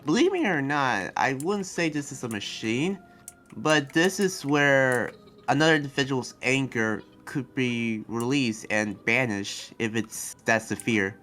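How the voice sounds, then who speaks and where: A man playing an earnest, hesitant demon slayer and researcher in an improvised Minecraft anime roleplay, speaking haltingly and trailing off. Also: mid-range voice, lively intonation, fairly bright tone. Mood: hesitant, showing around.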